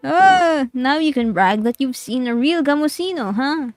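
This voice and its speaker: A woman's voice: sits high, animated, a little slow, no echo, soft background music. A woman voicing a cheerful, teasing mythical creature from a game and reading its lines aloud playfully.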